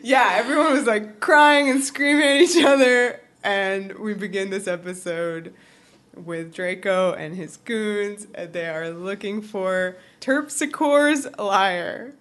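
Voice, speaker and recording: Female co-host, mid-pitched, slow-paced, wry and giggly, talking informally through an episode. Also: a bit bright. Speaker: podcast co-host. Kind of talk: casual episode recap chat.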